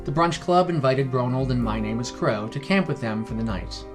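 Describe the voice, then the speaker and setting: A man's voice: medium pitch, animated, moderate pace, over music. A man reading a scripted fantasy story as its narrator.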